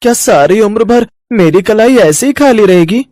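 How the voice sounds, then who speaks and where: A wistful, affectionate schoolboy acted by a man in a cartoon moral tale. His voice is very high and he talks in an earnest, childlike way. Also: expressive, normal speed, mellow, no room sound. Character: wistful, affectionate young schoolboy longing for a sister.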